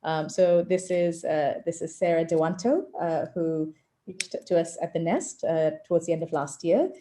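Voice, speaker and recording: A woman from southern Africa, an angel investor introducing herself on an online panel in a measured way over a video call. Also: sits low, level tone, dark tone, slight background noise.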